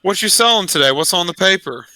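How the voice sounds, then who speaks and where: A man's voice: sits high, animated, fast, bright, forceful tone, no room sound, poor audio. A man playing a tabletop roleplaying game, bantering casually in a blunt, cheeky way.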